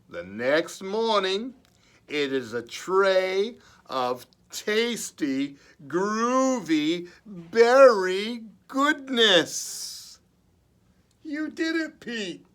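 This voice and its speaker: Children's librarian, male, very unhurried delivery with lively swings in pitch, expressive read-aloud with playful asides.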